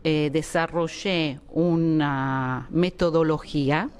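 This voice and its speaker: Woman talking real slow, lots of ums, kinda low voice, guest in an interview. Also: steady tone, darkish tone, clear, resonant tone. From Argentina. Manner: hesitant, many fillers and pauses. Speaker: interview guest, education researcher. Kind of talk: slow thoughtful explanation. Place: hotel room.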